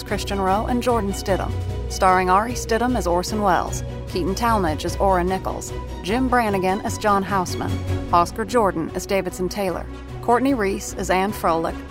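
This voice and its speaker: A woman reading scripted production credits as an announcer. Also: medium pitch.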